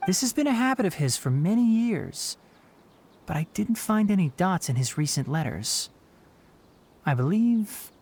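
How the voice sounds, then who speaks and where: A man playing an earnest, thoughtful young scholar in a video game cutscene, speaking in a measured, polite, articulate way. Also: fairly high pitch, darkish tone, slightly rough, heavily acted. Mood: concerned. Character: earnest, thoughtful young male scholar and forest watcher, loyal to his master. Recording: music playing behind the voice.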